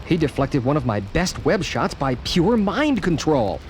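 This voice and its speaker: A jokey young superhero, high lively voice, music under it. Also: male voice, a little slow, gravelly, slight background noise, exaggerated voice. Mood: quippy. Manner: quippy, upbeat, talks to himself. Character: wisecracking young male superhero. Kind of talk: 1970s radio play acting. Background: music score.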